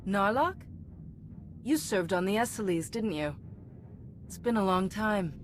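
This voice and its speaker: An actress playing a composed, principled commander in a video game, speaking politely and in a measured way. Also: female voice, medium pitch, animated, slight background noise, close to an everyday voice. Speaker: Jedi commander. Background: music.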